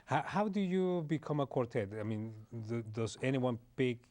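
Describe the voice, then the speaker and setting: A man's voice: mid-range voice, lively intonation, normal speed, darkish tone. A man interviewing guests on a TV show, laughing often and restarting his questions. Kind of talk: casual interview.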